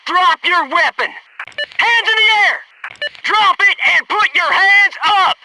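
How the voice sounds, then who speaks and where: A man's voice: very high voice, slow, very noisy. A male actor playing a loyal, wisecracking special forces soldier in a military shooter game, speaking in clipped military phrases. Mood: commanding shouts.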